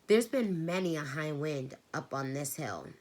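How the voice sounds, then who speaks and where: Calm storybook mom, woman reading, low voice, brisk and light.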